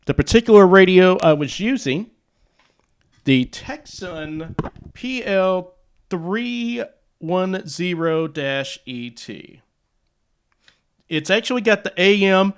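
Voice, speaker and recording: A man with a fairly high, smooth voice presents a radio and audio gear demo. He talks casually and takes his time, laying out each point in short, halting phrases. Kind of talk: casual demo and explainer talk.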